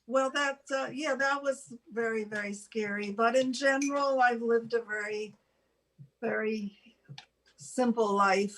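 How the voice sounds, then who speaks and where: Older female co-host, slightly high voice, unhurried and halting, casual unscripted chat. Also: a bit bright, rough recording. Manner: halting, pausing mid-sentence. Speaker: show co-host.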